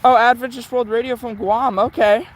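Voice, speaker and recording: A man giving casual live commentary while tuning a radio, talking haltingly as if muttering to himself. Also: very high-pitched, fairly even intonation, poor audio. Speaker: shortwave radio livestream host. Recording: loud background radio static and noise.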